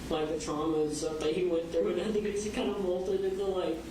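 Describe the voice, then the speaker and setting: A man's voice: high-pitched, level tone, a little fast. Echoey, distant, hesitant American teenage boy. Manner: hesitant, rambling youthful speech. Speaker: suspect being questioned. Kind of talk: recorded police interview, halting informal answers. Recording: echoey, noisy room recording from far off.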